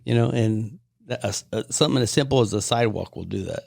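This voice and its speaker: Older man, podcast guest; gravelly, dry close sound, easygoing storyteller. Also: lowish voice, quick pace, a little mellow, no room sound. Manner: rambling storyteller, reminiscing. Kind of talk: casual reminiscing chat.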